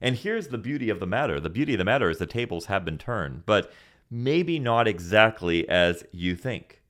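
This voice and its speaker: A man's voice: fairly low pitch, very animated, moderate pace, a bit bright. A man who grew up in Senegal, teaching a Bible podcast in an earnest preaching manner with rhetorical questions.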